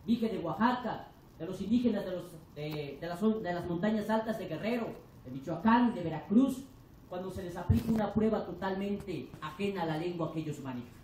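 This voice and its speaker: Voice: medium pitch, lively intonation, a little fast, darkish tone, a little hiss or hum. Impassioned teacher, Mexican accent, echoey hall. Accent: Mexican Spanish. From Chiapas, Mexico. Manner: declamatory, building rhetorical lists. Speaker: teacher and union delegate. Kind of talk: impassioned forum speech. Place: large echoey lecture hall. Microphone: distant from the recording mic. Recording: echoey hall recorded from far off.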